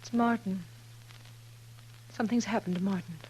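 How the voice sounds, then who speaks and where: A woman's voice: mid-range voice, steady tone, moderate pace, darkish tone, natural voice. An actress playing a gracious frontier lady in a 1950s radio western. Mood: worried. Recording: noisy 1950s radio recording.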